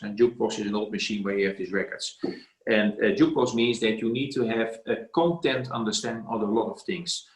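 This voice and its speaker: A middle-aged man giving emphatic career coaching on a video call, repeating his words. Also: fairly low pitch. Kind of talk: casual advice on a video call. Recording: roomy echo.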